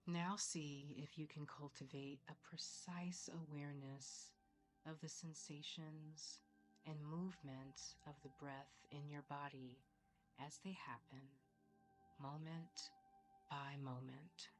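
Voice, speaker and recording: Female meditation guide, low register, very unhurried and soothing, soft music underneath. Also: steady tone. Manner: soothing, measured, with long pauses. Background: soft background music.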